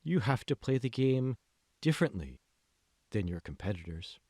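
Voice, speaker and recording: Man voicing a slow, hushed, manipulative mentor. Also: medium pitch, sing-song, no room sound, clean studio sound. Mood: teasing, controlled. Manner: slow, deliberate, probing questions, hushed. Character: calm, manipulative male mentor, slyly seductive and condescending. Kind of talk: dramatic audiobook dialogue.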